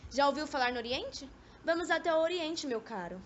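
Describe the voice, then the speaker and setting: A woman's voice: sits high, animated, fairly quick, fairly bright tone. A young woman acting a haughty, bored, reluctant former lover in an amateur radio drama, with a Brazilian accent and petulant delivery. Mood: mocking. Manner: petulant, disdainful. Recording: dull, noisy amateur recording.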